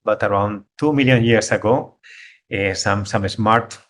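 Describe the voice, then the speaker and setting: A man's voice: lowish voice, a bit bright. A middle-aged man from Spain with a Spanish accent, giving a talk over a video call, often repeating words as he searches for how to put things. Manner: halting, repeats words while searching for phrasing. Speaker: guest speaker, conservation biologist. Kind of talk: online talk.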